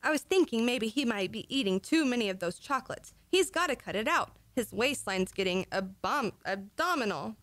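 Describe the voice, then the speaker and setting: Scatterbrained radio-comedy wife played by a woman, fairly high, close and dry, artlessly fretful. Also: animated, normal speed, hardly any pauses, fairly bright tone, no room sound. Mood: fretful, fond. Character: sweet, scatterbrained wife who muddles her words. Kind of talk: old-time radio comedy acting.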